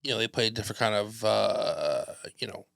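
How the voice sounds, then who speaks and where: Brief: young male host, bright tense tone, casual rambling banter, dry sound. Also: lowish voice, normal speed, creaky, no room sound. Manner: joking, rambling, loose. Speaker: podcast host. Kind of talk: casual chat.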